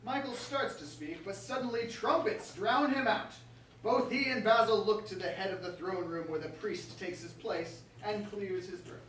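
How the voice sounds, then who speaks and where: A man's voice: high voice, moderate pace, fairly bright tone. A man reading stage directions as scripted narration at a staged reading. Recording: roomy, distant mic, faint noise.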